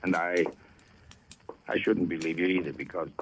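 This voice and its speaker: An actor in 1940s radio drama playing a skeptical police detective who is blunt, sardonic and folksy. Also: male voice, low voice, steady tone, moderate pace. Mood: reluctant. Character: skeptical, wry, gruff male police detective. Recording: noisy old radio recording with clicks.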